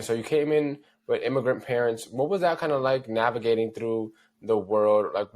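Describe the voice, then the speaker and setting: Podcast host: fairly low male voice, casual, lots of fillers. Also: darkish tone. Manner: loose and chatty, heavy on fillers like "kinda" and "you know". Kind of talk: casual interview.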